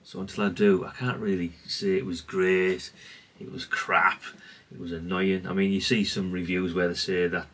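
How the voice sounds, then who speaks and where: A man's voice: sits low, flat delivery, moderate pace, hardly any pauses, fairly bright tone, far from the mic. A man giving a casual, rambling, understated show-and-tell, speaking off the cuff. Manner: rambling, self-effacing, understated. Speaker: hobbyist presenter.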